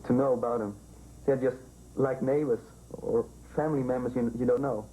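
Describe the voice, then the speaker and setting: A man's voice: mid-range voice, steady tone, moderate pace, mellow, slight background noise, low-quality recording. A male student thinking aloud, hesitant and thoughtful.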